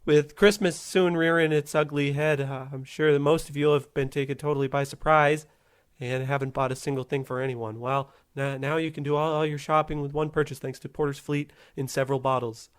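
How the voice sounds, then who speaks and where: Guy doing a desperate radio ad salesman, stammery, slightly high voice. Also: level tone, smooth, close to an everyday voice. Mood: eager, salesy. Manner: halting, salesy. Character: desperate, stammering sponsor pitchman. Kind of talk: parody radio advertisement.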